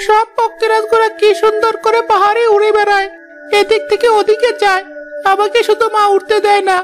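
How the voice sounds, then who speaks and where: A woman's voice: very high-pitched, flat delivery, brisk. A woman voicing a small, naive young flying horse in a plaintive, childlike way for a fairy-tale cartoon. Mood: wistful, eager.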